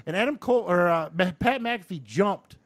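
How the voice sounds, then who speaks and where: An American man with a high voice that swings up and down as he talks. He is an excitable podcast co-host, casual and profane, retelling sports action with open enthusiasm. The sound is close and dry. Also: normal speed, no room sound.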